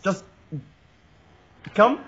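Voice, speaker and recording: A man's voice: drawn-out words, fairly bright tone, muffled recording, slight background noise. A Moroccan man with Moroccan Arabic as his native language, making an angry, indignant street appeal to camera in English and repeating his phrases. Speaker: protester speaking to camera.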